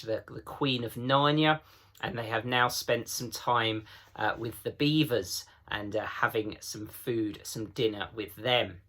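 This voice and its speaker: A man's voice: mid-range voice, fairly bright tone. A man reading aloud as a teacher, introducing and narrating a children's storybook.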